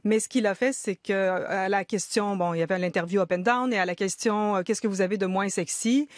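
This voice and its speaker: A woman in her fifties with a Quebec French accent, a guest writer talking quickly and emphatically in a radio studio discussion. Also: medium pitch, fairly even intonation, dry recording. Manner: quick, emphatic, agreeing often. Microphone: studio microphone.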